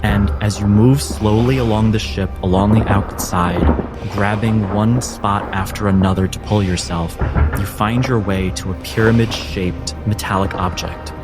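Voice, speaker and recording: A man narrating a cinematic game as game master, telling it in measured, descriptive phrases. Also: lowish voice, steady tone. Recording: music bed behind the voice.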